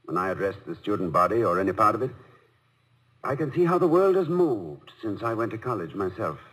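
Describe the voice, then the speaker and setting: Dignified, wryly formal college president. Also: male voice, lowish voice, big pitch swings, brisk, a bit bright. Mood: reflective. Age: middle-aged. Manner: formal, precise, wry. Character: dignified, dryly witty college president and husband. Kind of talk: 1950s radio comedy-drama acting. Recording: noisy old radio broadcast recording.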